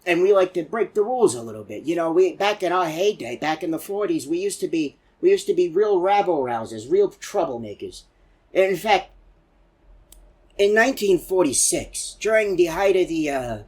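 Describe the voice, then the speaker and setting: Man doing a husky, rambling old animatronic. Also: on the high side. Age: older. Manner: rambling, folksy, digressive. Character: chatty, rambling, excitable elderly male animatronic storyteller. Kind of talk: rambling game character monologue.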